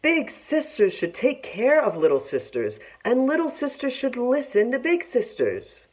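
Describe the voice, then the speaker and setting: A worried, pleading mother from a storybook, as a woman reader acts her out. She is earnest and emphatic, with a raised voice and very lively intonation. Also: fairly high pitch, unhurried, pitched above the performer's own voice. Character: worried, pleading widowed mother of two daughters. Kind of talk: storybook read-aloud character voice.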